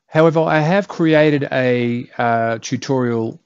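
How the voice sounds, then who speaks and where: A man's voice: medium pitch, takes it slowly, clear, resonant tone, no echo. Australian man, middle-aged, casual tutorial host, blunt, self-deprecating, dry dull recording. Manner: chatty, self-deprecating, blunt with mild swearing.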